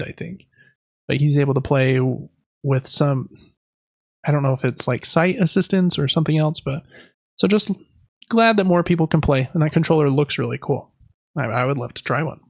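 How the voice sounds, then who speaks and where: Guy podcast host, bit higher voice w some fry, just casually going thru news. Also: dry recording, highs cut off. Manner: conversational, loosely reading through news items.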